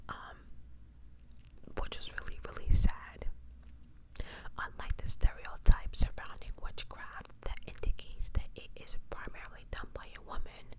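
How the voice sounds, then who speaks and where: Whispering woman, opinionated ASMR storyteller. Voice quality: pure unvoiced whisper. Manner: opinionated, slangy, conversational asides, repeats words for emphasis. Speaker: ASMR host. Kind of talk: whispered ASMR true-crime commentary, reading and reacting.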